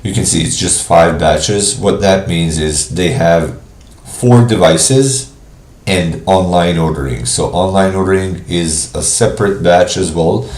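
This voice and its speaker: Stuffy-nosed man with a deep voice. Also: darkish tone, echoey room. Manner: casual, thinking aloud with pauses. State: congested from a cold. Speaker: software trainer. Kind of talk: live screen-share walkthrough.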